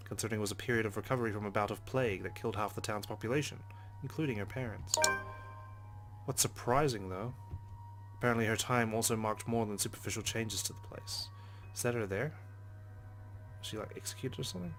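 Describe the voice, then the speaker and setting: A man reading visual novel narration aloud dramatically as a let's play host, with brief casual asides. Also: on the low side. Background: game background music.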